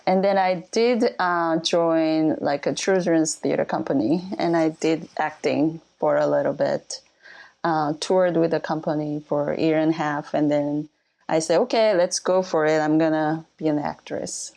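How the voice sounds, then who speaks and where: A woman from Korea, a native Korean speaker, telling her story as a guest in a casual interview, with many pauses and fillers. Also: low voice, fairly even intonation, vocal fry, a little mellow. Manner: thoughtful, many pauses and fillers, light laughs. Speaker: guest lighting designer.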